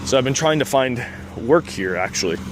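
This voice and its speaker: A man's voice: mid-range voice, expressive, fairly quick. Rambling young man on a noisy street. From Canada. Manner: rambling, laughs often, lots of "like". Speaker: vlogger. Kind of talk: casual walking vlog. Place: city street outdoors. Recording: outdoor street noise. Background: street traffic.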